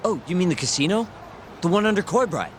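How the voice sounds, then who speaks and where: An actor playing a breezy, quick-witted young sidekick in a video game, speaking casually. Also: male voice, high voice, lively intonation, a little hiss or hum. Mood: casual, alert. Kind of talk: video game cutscene acting.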